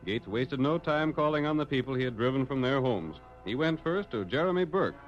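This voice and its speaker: A man's voice: mid-range voice, expressive, a little fast, faint music behind, natural voice. A man narrating a radio western as a dramatic storyteller with an American accent. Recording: dull vintage radio recording.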